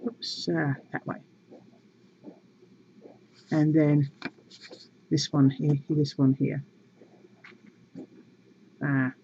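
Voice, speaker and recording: A woman reading a story aloud in a measured, expressive and wry way, with casual asides to her viewers. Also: deep voice, dull audio, a little hiss or hum.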